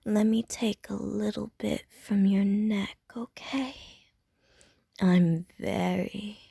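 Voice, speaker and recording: A woman voicing a flirtatious, hungry female vampire in an ASMR audio roleplay, whispering softly and teasingly. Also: mid-range voice, fairly even intonation, takes it slowly, creaky, slightly rough, no echo, studio quality, full character voice. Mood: sultry whisper.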